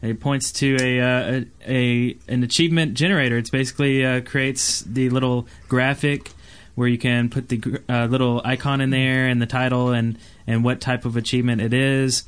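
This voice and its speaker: A man's voice: medium pitch, flat delivery, normal speed, clear, resonant tone. Casual man with mid-range voice. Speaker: podcast co-host. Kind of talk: casual gaming podcast chat.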